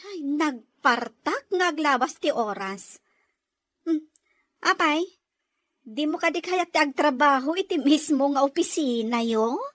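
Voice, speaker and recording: An actress plays a supportive young office friend in an audio drama. Her voice is high and very dry, chatty and expressive. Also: a little slow, no room sound. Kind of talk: Ilocano audio drama acting.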